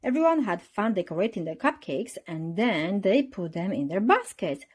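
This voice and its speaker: A woman reading a children's storybook aloud in an expressive narrating style. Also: medium pitch, normal speed, slightly rough. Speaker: storybook reader.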